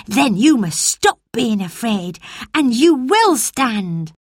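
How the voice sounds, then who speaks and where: A woman's voice: a little high, sing-song, slow, no room sound, studio quality, pitched above the performer's own voice, heavily acted. A woman voicing a cheerful, reassuring country boy in a storybook reading. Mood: encouraging. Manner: bright, reassuring and firm. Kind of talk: storybook character voice.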